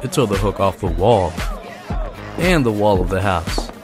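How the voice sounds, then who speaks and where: A man's voice: on the low side, expressive, moderate pace, music in the background, close to an everyday voice. A man voicing an ambitious, cocky henchman who narrates a motion comic in hardboiled first person.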